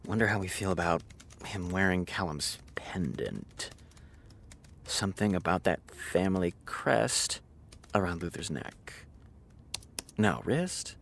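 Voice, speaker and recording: An actress playing an anxious, obsessive TV showrunner in naturalistic audio drama, with a muttering, stammering delivery. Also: female voice, extremely low pitch, animated, slow, vocal fry, pauses often, a little hiss or hum, full character voice. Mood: distracted, wistful. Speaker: actor.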